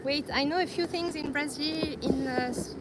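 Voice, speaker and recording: A woman walking outdoors on busy streets, chatting casually on a live stream and often pausing to search for words. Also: sits high. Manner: hesitant, searching for words, light laughs. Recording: loud street noise.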